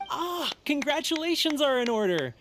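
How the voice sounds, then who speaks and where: A woman's voice: high-pitched, big pitch swings, normal speed, a bit bright, slight background noise. A woman playing a jovial, deferential festival stall keeper in a video game, effusive and welcoming. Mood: congratulatory.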